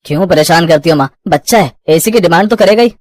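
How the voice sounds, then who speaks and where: A woman plays a weary husband and son in a bedtime family tale. He complains irritably and quickly, in a lowish voice with a dry sound. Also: a little mellow, dry recording, close to an everyday voice. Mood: irritated. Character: tired, irritated husband and son, adult man.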